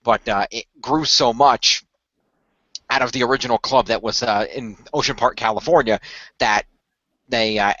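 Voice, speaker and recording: A man's voice: fairly low pitch, a bit bright, no room sound, lo-fi sound. A man playing a polite, eager young studio employee in a radio play, apologetic and hesitant as he explains things at length.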